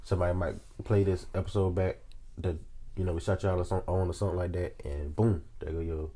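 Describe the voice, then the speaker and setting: Deep-voiced Southern young man, under the weather. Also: steady tone, quick pace, warm tone, breathy edge. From South Carolina, United States. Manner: rambling, joking, colloquial. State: sick with a sore throat. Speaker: podcast co-host. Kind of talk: casual chat.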